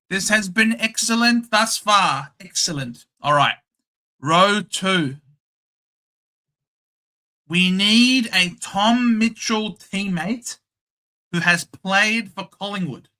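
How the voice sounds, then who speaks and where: A man's voice: high-pitched, slow pace, pauses often, bright, forceful tone. An Australian man hosting a casual livestream, chatting, thinking aloud and reading out viewers' names. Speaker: livestream host.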